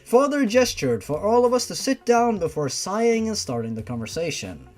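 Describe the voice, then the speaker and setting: Expressive storyteller with lively swings in pitch. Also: normal speed. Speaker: story reader. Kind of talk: first-person narration of fan fiction story. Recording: music behind the voice. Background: music.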